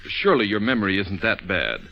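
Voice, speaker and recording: A man's voice: on the low side, sing-song, fairly quick, gravelly. A man playing an obliging committee chairman in a 1940s radio satire, exaggeratedly polite and deferential. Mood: fawning. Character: fawning, obliging male committee chairman coaxing favoured witnesses. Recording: dull 1940s radio recording.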